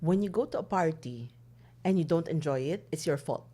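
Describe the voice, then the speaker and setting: A woman from Manila with a Filipino accent, a hotel manager being interviewed, telling stories in an animated way and often ending her points with "no?". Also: sits low, a little fast. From Manila, Philippines. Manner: animated storytelling, frequent tag "no?". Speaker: hotel general manager, guest. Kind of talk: conversational interview.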